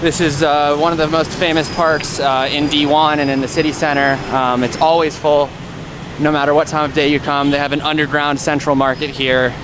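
A man's voice: on the high side, little pitch movement, normal speed. A young man talking casually and eagerly to a camera held at arm's length, outdoors in a city park, with lots of fillers. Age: young adult. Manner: chatty, enthusiastic, many fillers. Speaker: travel vlogger. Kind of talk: casual travel vlog. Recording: outdoor street noise.

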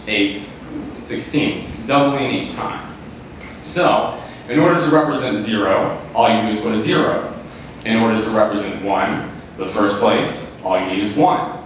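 A teenage American man presents to an audience as a student. His voice rings around a large, very echoey auditorium, picked up from well away from the mic. Clear noise sits behind him. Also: on the low side, unhurried. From Kentucky, United States. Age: teens, high school student. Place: large auditorium stage, far camera. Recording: echoey hall, far-off mic, heavy noise.

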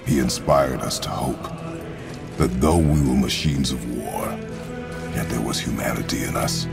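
An actor playing a grim, stoic Spartan warrior father in a video game, speaking tersely and solemnly in formal, measured words. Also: full character voice. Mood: solemn, remembering. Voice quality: deep, gravelly. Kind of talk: dramatic video game acting. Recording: loud music behind the voice.

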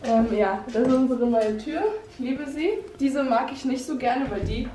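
A woman's voice: sits high, moderate pace, recorded from a distance, slight background noise. A young woman with a cold, chatting casually in her vlog while moving around a house. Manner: chatty, laughing, animated with hand gestures. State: has a cold. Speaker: vlogger. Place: bright empty room in a house, indoors. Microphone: camera mic from a few steps away. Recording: echoey bare room, off-mic.

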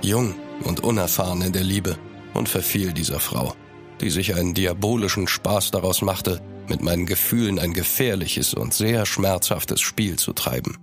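An actor playing an older French marquis, a courtly, grave and measured storyteller in a German audio drama. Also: male voice, low-pitched, faint music behind. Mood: ominous, reminiscing.

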